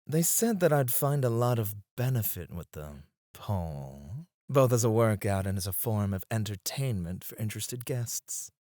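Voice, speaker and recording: A suave male escort acted by a man speaks in a low, very close and dry voice. His delivery swings expressively as he teases and flatters one listener in an ASMR roleplay, courteous and unhurried in his phrasing. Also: no room sound, natural voice. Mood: teasing, boastful. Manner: teasing, courteous, drawn-out phrasing with soft chuckles. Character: suave, flirtatious male club escort, smooth-mannered and teasing.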